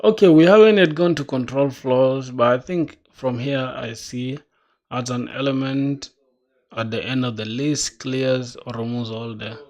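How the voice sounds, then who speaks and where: A man's voice: medium pitch, fairly even intonation, a little slow, darkish tone. A young man from South Sudan, a self-taught programmer, thinking aloud while he codes, reading documentation and talking casually. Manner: casual, musing, reads docs aloud, says "bro".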